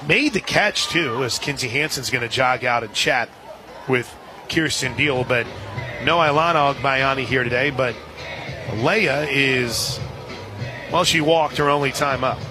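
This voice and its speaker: A man calling softball play-by-play on the radio, conversationally filling in scores and details between pitches. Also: measured pace, over music. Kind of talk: live softball radio commentary. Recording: outdoor stadium crowd behind the voice. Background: crowd noise.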